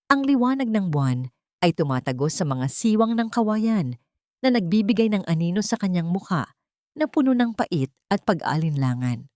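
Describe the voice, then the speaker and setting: A woman with a Filipino accent narrating a dramatic scripted story in a measured, emotive way. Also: medium pitch, very animated, mellow, no room sound, clean studio sound.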